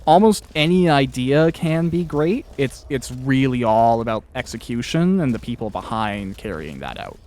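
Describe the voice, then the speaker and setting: A man's voice: mid-range voice, lively intonation, moderate pace, few pauses, a little mellow. A man hosting a casual interview chat, talking in a wordy, hesitant way and often interrupting himself. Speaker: podcast host interviewer.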